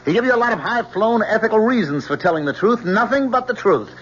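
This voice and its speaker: Wronged young fiancé, sardonic, accusing, swinging pitch, radio play, dull noisy recording. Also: male voice, expressive, fast talker, fairly bright tone. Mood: accusing, angry. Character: bitter, sarcastic young man, wronged fiancé. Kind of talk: 1970s radio drama acting.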